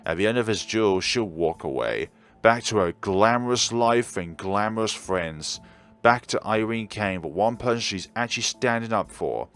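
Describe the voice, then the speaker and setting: A man's voice: on the low side, expressive, normal speed, fairly bright tone, clean tone. A man giving casual, wry commentary while playing through a visual novel. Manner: wry, reacts and laughs along. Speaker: let's play host. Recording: faint game music behind.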